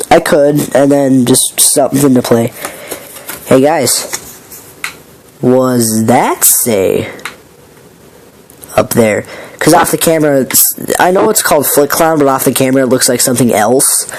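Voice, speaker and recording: A man who is sick with a raspy voice, casually walking through his card game deck in a rambling, filler-heavy, apologetic way. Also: medium pitch, normal speed, pauses often, darkish tone, a little hiss or hum.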